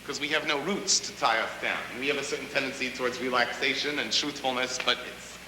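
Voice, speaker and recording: A man's voice: medium pitch, steady tone, a little fast. A middle-aged man teaching a drama class in an emphatic, demanding, theatrical manner. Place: large high school classroom, indoors. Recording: hissy tape, echoey reverberant classroom.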